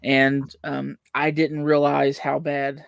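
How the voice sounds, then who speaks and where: A man's voice: mid-range voice, completely flat delivery, slow, vocal fry, dry recording, studio quality. A young man from West Virginia with a Southern country accent, rambling through a casual movie chat with lots of fillers. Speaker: podcast co-host.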